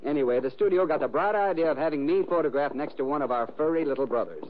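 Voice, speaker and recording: An actor playing a glib, harried Hollywood film star bantering in 1950 radio mystery style. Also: male voice, fairly high pitch, lively intonation, lightly acted. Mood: jaunty, wry. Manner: glib, rapid comic banter. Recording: dull, noisy old radio transcription.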